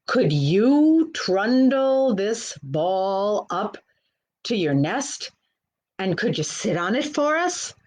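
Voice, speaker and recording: A mischievous leprechaun ringleader from a children's storybook, read by a woman. He is playful, cajoling and a bit bossy, and he speaks at an unhurried pace. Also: medium pitch, big pitch swings, a little mellow. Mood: coaxing.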